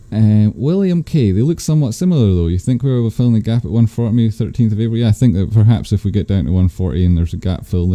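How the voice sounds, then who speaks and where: A man's voice: dark tone, dry recording. A man from Scotland hosting a live stream, reading chat comments aloud with dry, teasing, rambling asides. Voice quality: bassy voice. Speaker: stock stream host. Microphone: desk microphone.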